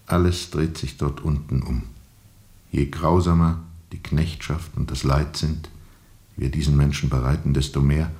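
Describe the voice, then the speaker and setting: A man acting a brooding, haunted steamboat captain in a radio drama, grave and measured, often sinking toward a whisper. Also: very deep voice, mellow. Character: brooding, haunted steamboat captain recalling a colonial river journey.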